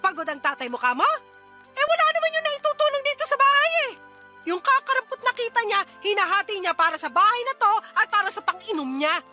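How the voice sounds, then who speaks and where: A woman's voice: very high-pitched, sing-song, fast, pushed and bright, faint music behind, heavily acted. A woman playing a bitter, exhausted mother who scolds and nags sarcastically in a radio-style drama. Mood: angry, exasperated.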